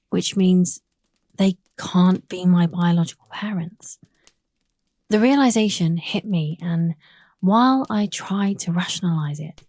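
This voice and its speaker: Slow, low-voiced woman narrating. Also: warm tone, no echo. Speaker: horror story narrator. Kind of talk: confessional first-person story narration.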